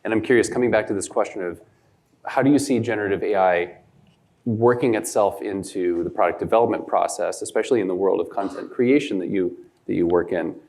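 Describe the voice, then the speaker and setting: A man's voice: lowish voice, brisk, a little mellow. A man moderating a panel, asking questions with curious hesitation.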